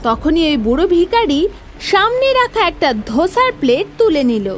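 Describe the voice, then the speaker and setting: A woman's voice: extremely high pitch, sing-song, normal speed, a little hiss or hum. A woman narrating a scripted children's story as the storyteller.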